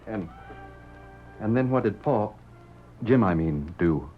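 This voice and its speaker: An actor playing an earnest, troubled young western rancher, speaking haltingly in a radio-drama style. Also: male voice, lowish voice, animated, over loud music. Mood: troubled, questioning. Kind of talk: old-time radio drama acting. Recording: dull vintage radio recording.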